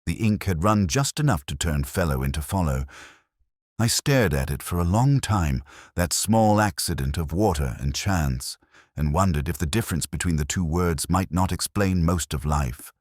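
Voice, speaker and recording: A man plays a brooding, literary male detective telling his own mystery as radio drama. The voice sits extremely low yet moves with plenty of melodic variation. Delivery is measured and wry, recorded very dry and close. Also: expressive, no room sound. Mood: wistful, reflective. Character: melancholy, literary male English police detective narrator. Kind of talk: scripted first-person mystery narration in radio drama manner.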